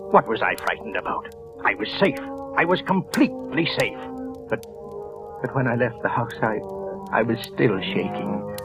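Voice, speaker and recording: A jittery, guilty clerk, played by a man, in an old-time radio thriller, stammering and halting as he talks. The voice sits at an ordinary mid pitch. A dramatic musical score plays beneath him throughout. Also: natural voice. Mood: tense. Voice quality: halting, stammering, with nervous little laughs. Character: nervous guilty male office clerk, middle-aged. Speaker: narrator and lead character. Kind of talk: 1940s radio drama acting.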